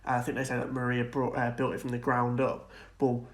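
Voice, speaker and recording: A man's voice: medium pitch, completely flat delivery, a little fast. A man co-hosting a casual film and TV discussion podcast, speaking in an agreeable, informal way. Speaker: podcast co-host.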